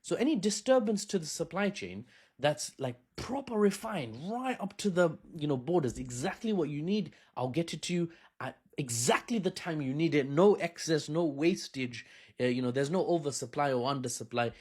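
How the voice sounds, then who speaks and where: A man hosting a casual political commentary, animated and joking with sarcastic asides. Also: fairly high pitch, moderate pace, slightly rough. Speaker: host.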